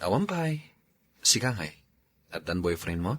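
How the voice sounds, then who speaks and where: An actor plays a gentlemanly young Ilocano bachelor in an audio drama, casual and coaxing. His voice is on the low side and swings widely in pitch, with a rough, husky grain. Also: big pitch swings, measured pace, full character voice. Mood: courting. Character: friendly gentlemanly young bachelor, Daniel, courting shyly. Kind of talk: radio drama acting in Ilocano.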